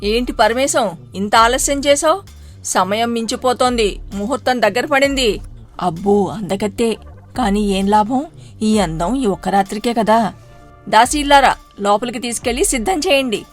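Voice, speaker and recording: A woman voicing a cruel, proud noblewoman in an animated story, haughty and commanding. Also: on the high side, normal speed, soft background music, close to an everyday voice. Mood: scornful.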